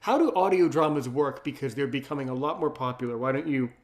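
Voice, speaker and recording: A man's voice: mid-range voice, fast. A man hosting a casual interview, effusive and wordy, circling around his questions. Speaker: host, interviewer.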